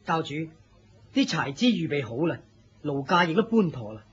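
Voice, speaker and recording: An actor playing a respectful, dutiful senior disciple in a Hong Kong Cantonese radio drama. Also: male voice, a little high, sing-song, moderate pace, husky, lo-fi sound, exaggerated voice.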